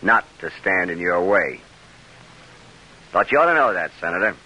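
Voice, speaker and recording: An American actor playing a blunt, probing lawyer in an old-time radio drama. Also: male voice, sits low, lively intonation, normal speed, pushed and bright, slightly rough, dull audio, exaggerated voice. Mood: probing, needling. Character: blunt, probing male lawyer, loyal go-between. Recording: vintage radio broadcast recording.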